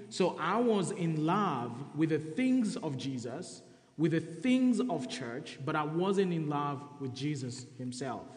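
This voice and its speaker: Kenyan male preacher in his thirties, earnest testimony, roomy sanctuary sound. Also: fairly high pitch. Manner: earnest testimony, reading scripture aloud. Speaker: guest preacher. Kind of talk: sermon. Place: church sanctuary. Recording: echoey church hall.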